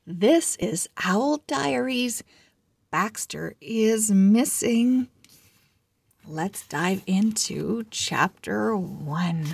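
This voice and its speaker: A woman reading a children's storybook aloud as host, with deliberate, expressive phrasing. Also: mid-range voice, vocal fry, slightly rough, no echo.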